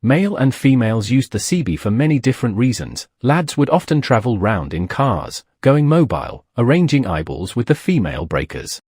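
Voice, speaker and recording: A man's voice: medium pitch, very animated, moderate pace, few pauses, a little mellow, husky, no room sound, clean studio sound. A man narrating a documentary from a script.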